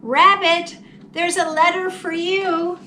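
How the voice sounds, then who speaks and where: A woman's voice: very high voice, level tone, takes it slowly, pushed and bright, voice pushed up, heavily acted. A woman voicing a shy, kindly old mailman from a storybook, with terse, polite singsong calls. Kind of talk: storybook character voice. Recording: distant mic, faint background noise.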